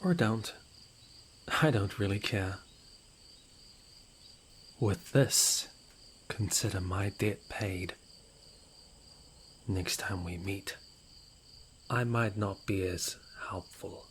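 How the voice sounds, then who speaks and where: A man's voice: fairly low pitch, drawn-out words, pauses often. A man playing a smug, nonchalant rogue informant in a fantasy audio roleplay, with a theatrical, mocking, playfully menacing way of talking. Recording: noisy outdoor night ambience with crickets. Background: crickets and night insects.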